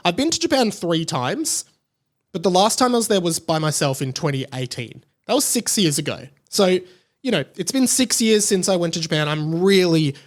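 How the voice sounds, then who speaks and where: An Australian man in his late twenties chatting casually and enthusiastically, as a solo podcast host. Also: fairly high pitch. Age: late 20s. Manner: enthusiastic, gushing.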